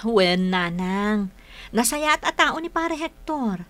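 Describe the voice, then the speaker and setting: Radio drama: married Ilocano mother, mid-pitched, bright voice, earnest and firm. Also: lively intonation, takes it slowly. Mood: reassuring. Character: married young mother, dutiful daughter, firm and flustered.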